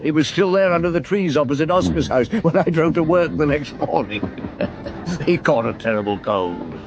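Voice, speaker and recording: A middle-aged actor playing a seasoned chief police inspector in a radio drama, steady and commanding with dry humour. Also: male voice, a little high, moderate pace, dull audio, noisy background. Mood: reminiscing, wry. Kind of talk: 1960s-70s radio drama acting.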